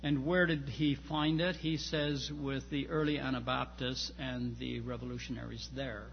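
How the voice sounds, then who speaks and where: Male academic lecturer, slightly gravelly, emphatic but halting delivery, speaking in a reverberant hall with some background noise. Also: mid-range voice, flat delivery, muffled recording. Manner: halting, searching phrasing with repeated starts and emphatic stresses. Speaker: lecturer on church history and theology. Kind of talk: informal academic lecture with slides.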